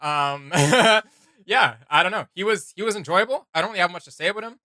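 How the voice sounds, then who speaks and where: Guy co-hosting a reaction vid, super bright voice, kinda high, lots of um and uh, laughs a bunch. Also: brisk, no echo, studio quality. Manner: rambling, filler-heavy, laughs often. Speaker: reaction video co-host. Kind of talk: casual reaction chat.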